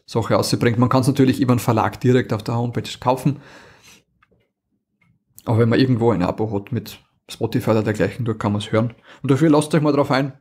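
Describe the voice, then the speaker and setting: A man's voice: mid-range voice, fast, darkish tone, husky. A man co-hosting a podcast about audio dramas, talking casually with many fillers and restarts. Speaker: podcast co-host.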